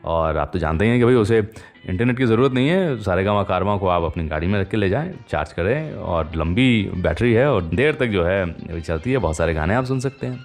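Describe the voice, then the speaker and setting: A male radio host with a fairly low voice and lively, swinging intonation. He tells stories conversationally and chattily, pausing and tossing in rhetorical tags. Faint music plays behind him. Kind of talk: conversational radio storytelling about old film music.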